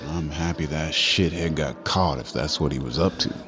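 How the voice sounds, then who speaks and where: Deep-voiced, blunt male coworker. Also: moderate pace, a crowd in the background. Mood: concerned, curious. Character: blunt, concerned male coworker, gossipy and plainspoken. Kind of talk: naturalistic audio drama acting.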